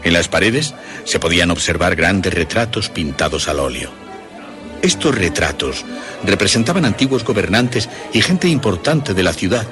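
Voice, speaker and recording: Male narrator for suspense audio story: very low voice, animated range, music underneath. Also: moderate pace. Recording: music behind the voice.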